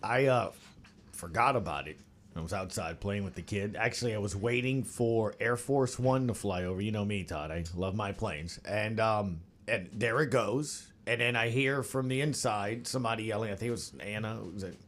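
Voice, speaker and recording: A man's voice: fairly low pitch, normal speed, a bit bright. A man hosting a sports radio roundtable, chatting casually in short clipped phrases.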